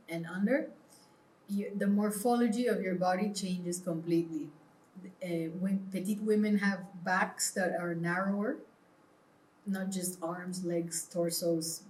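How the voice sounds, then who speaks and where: A woman's voice: fairly low pitch, a little mellow. A woman from Peru speaking as an interview guest, explaining her views earnestly in a conversational way. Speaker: interview guest, clothing brand founder. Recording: roomy, echoing sound.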